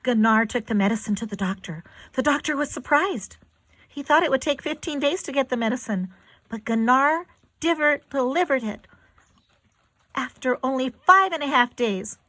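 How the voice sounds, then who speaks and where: High-voiced woman reading to children. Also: fairly bright tone, no echo. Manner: dramatic, emphatic delivery addressed to young children. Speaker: storyteller reading a children's book aloud. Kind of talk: expressive read-aloud for children.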